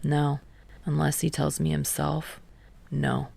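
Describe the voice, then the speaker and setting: A prickly, defensive teenage boy in an audiobook, played by a woman. The delivery is a dry, sarcastic drawl, low-pitched and very creaky, with snappish edges. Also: little pitch movement, slow, dark tone, dry recording, exaggerated voice. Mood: evasive.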